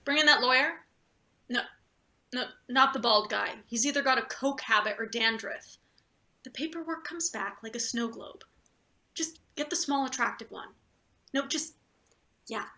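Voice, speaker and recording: A woman's voice: on the high side, normal speed, pauses often, sharp tone. A woman playing a ruthless, irritable boss in a play reading, curt, accusatory and sarcastic. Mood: threatening, irritated. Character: ruthless, irritable female boss, sharp and threatening. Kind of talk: live table read of satirical stage play.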